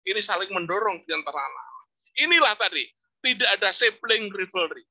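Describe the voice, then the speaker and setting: Bright-voiced older professor telling stories. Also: male voice, sits high, a little fast, dull audio. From Indonesia. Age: 60s. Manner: storytelling, lively rising emphasis, religious exclamations. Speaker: psychology professor giving a talk. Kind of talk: informal religious study lecture.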